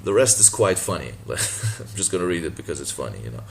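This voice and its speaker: A man from Malta, a native Maltese speaker, with a deep voice, talking casually and sardonically in a response video, laughing and swearing as he goes. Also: big pitch swings, a little fast, vocal fry. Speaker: boxing channel vlogger.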